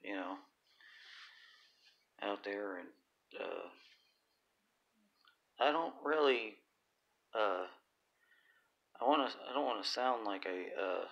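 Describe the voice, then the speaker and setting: A raspy, gravelly man talks at a slow, unhurried pace on his own stream. His voice sits a little low, and he stops often with fillers and restarts. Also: lively intonation, a bit bright, dull audio. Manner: halting, many fillers and restarts. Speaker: YouTuber. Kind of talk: casual livestream talk.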